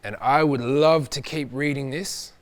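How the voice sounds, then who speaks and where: A man with an Australian accent presenting and reading a children's story, with expressive phrasing aimed at young listeners. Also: medium pitch, unhurried.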